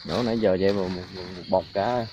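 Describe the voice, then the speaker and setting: A man's voice: sits low, steady tone, fast talker. A man with a southern Vietnamese accent chatting casually as a vlogger filming outdoors. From Ben Tre, southern Vietnam. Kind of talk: casual chat. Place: outdoors by a creek in a coconut grove. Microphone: handheld camera or phone mic. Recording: dull outdoor recording, loud insect noise.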